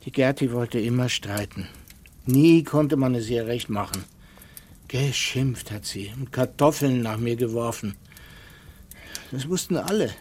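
A man's voice: mid-range voice, unhurried, pauses often, darkish tone, husky. Plain-spoken, kindly country man. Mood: defensive. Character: kindly grieving rural man burdened by guilt. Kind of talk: radio play acting.

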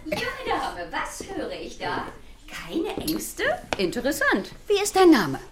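Sneering, grand female schoolroom doctor for children's audio drama; high-ish, very animated delivery. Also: takes it slowly, background noise, exaggerated voice. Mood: mock curious. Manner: theatrical, sneering, grandly pronounced. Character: flamboyant, mocking female doctor teaching schoolchildren about fear. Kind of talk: children's radio drama acting.

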